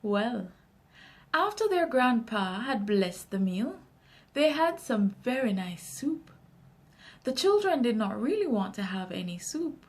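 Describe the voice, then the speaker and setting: A woman with a Jamaican accent reading a children's story aloud in an expressive, deliberate storytelling manner. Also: a little high.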